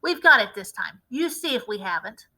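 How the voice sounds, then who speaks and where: A woman voicing an excitable father who eagerly pushes ahead and shouts orders. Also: on the high side, normal speed, exaggerated voice, rough recording. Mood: eager bossy. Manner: excited, pushy, loud.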